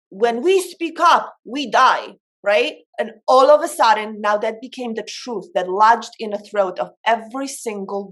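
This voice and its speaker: A woman from Poland, speaking as a coach in an informal, emphatic motivational talk on self-development. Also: a little high.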